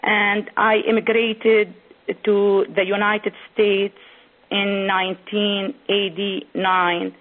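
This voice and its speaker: A woman from Syria, giving a prepared conference speech as an author, delivering it slowly phrase by phrase. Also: mid-range voice, level tone. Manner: slow, deliberate, phrase-by-phrase delivery. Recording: tinny phone-like sound.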